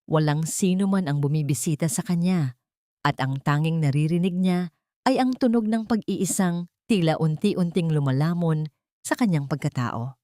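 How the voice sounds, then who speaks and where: A low-voiced woman narrates an audio drama from a script. Her delivery is measured and sentimental, and the recording is close and dry. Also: darkish tone, no echo.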